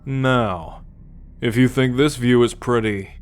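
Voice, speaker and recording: A man plays a swaggering alien soldier who holds the listener as his ward. He talks slowly at an ordinary male pitch, with sardonic, boastful bite and coarse slang. It is intimate ASMR roleplay acting. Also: close to an everyday voice. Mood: slow, commanding. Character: cocky alien military scout, gruff, teasing, possessive captor.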